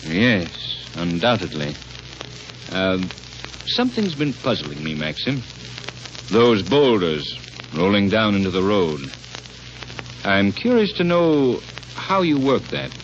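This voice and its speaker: An actor in an old radio drama playing an inquisitive, confident, well-bred gentleman with polished, urgent delivery. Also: lowish voice, very animated, slow pace, pauses often. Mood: guarded, polite. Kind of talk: 1930s radio drama acting. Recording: very noisy, muffled 1930s radio recording.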